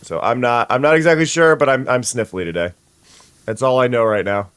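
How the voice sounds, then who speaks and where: A young adult streamer, sniffly today, chatting casually with viewers and restarting sentences often. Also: male voice, mid-range voice, brisk, fairly bright tone, clean tone, dry recording. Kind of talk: casual gameplay chat.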